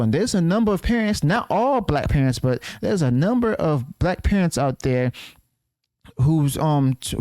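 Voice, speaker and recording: A man's voice: a little high, warm tone, no echo. A man giving casual reaction commentary, leaning on "okay" and "and stuff" as fillers.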